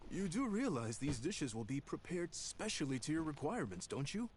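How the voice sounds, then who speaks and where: A man's voice: on the high side, very animated, moderate pace, slight background noise. A man playing a proud, genial restaurant chef in a video game, with a hearty, theatrical, salesmanlike manner. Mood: reluctant, accommodating. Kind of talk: video game dialogue acting.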